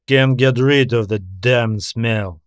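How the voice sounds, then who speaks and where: A man voicing a gruff, evasive male space station mechanic in audiobook dialogue, offhand and hesitant. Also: medium pitch, slow, no echo, natural voice. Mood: gruff, guarded.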